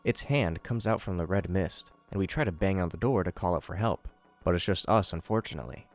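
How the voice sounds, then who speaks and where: A man's voice: sits low, a little fast, faint music behind. A man narrating a scripted recap with commentary, adding wry, joking asides.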